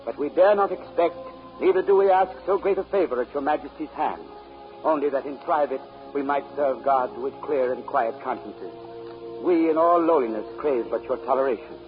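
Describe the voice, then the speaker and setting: A man's voice: mid-range voice, normal speed, natural voice. A man acting a humble, earnest Puritan petitioner, formal and reverent, in a 1930s radio drama. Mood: humble, pleading. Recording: muffled 1930s radio recording. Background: music.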